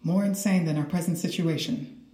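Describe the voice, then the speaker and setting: An actress playing a cool, unyielding government interrogator in an audio drama, measured, dry and matter-of-fact. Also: female voice, fairly low pitch, fairly even intonation, dark tone, natural voice. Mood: cool, factual. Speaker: actor in audio fiction. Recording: roomy echo, faint music underneath.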